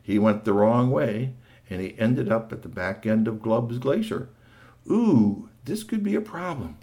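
A man's voice: mid-range voice, unhurried. A man voicing a fussy, worried older male townsman who talks in urgent repeats in a storybook tale. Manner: excited, repeats himself urgently.

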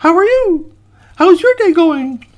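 A man's voice: very high-pitched, very animated, a little slow, voice pushed up, exaggerated voice. A man voicing a cheeky, gleeful little gingerbread boy in a sing-song children's story. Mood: cheerful. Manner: sing-song taunting and friendly greetings.

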